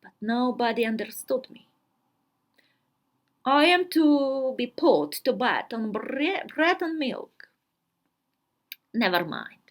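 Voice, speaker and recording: A woman voicing a self-important, earnest fourteen-year-old girl diarist, reading dramatically with deliberate pauses and emphasis. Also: on the high side, very animated. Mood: haughty, pleased. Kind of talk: dramatic literary reading of a diary.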